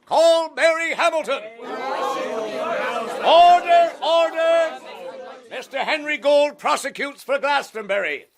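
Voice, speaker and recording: Period radio drama: stern male court officer, gravelly, commanding, over a noisy crowd. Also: very high-pitched, takes it slowly, pauses often, exaggerated voice. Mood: stern, exasperated. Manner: commanding, formal, barking. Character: stern 18th-century court official demanding order. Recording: rowdy courtroom crowd behind voice.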